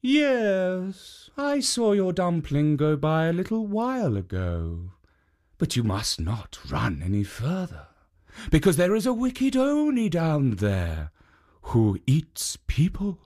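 A storyteller playing a kindly stone statue spirit from a folk tale, speaking in a lifted, gentle voice. The guardian warns slowly and earnestly, each phrase rising and falling. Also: mid-range voice, wildly swooping pitch, voice pushed up. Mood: warning.

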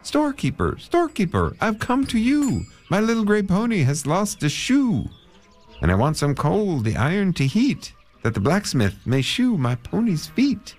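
A man's voice: wildly swooping pitch, moderate pace, darkish tone, soft background music, exaggerated voice. A man reading a children's story, voicing a worried traveler who pleads in a raised, anxious voice. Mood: fretful pleading. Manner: high, anxious, repeating pleas. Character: fretful man who lost his pony's shoe.

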